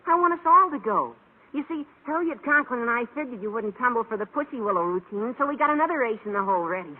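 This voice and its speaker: An American actor playing an eager, scheming teenage schoolboy, gawky and over-earnest, in an old radio sitcom. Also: male voice, very high-pitched, expressive, quick pace. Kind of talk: 1940s-50s American radio sitcom acting. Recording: tinny old radio recording, faint hiss.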